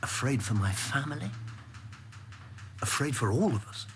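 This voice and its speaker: An actor playing a worried, discreet government confidant in a measured, understated radio drama style. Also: male voice, mid-range voice, very animated, a bit bright. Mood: fearful, grave. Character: Lewis, worried, principled male government confidant, discreet and pragmatic. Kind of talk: naturalistic radio drama acting.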